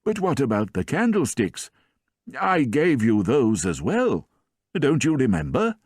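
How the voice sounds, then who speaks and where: A man doing a gentle, kindly old priest. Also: medium pitch, wildly swooping pitch, slightly rough, dry recording, studio quality, pitched above the performer's own voice, exaggerated voice. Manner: soft, warm, unhurried.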